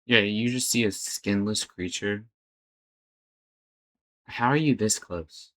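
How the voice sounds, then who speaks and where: A man's voice: lowish voice, slow, a bit bright. A man hosting a reaction video, talking casually in a hesitant, stammering, uneasy way.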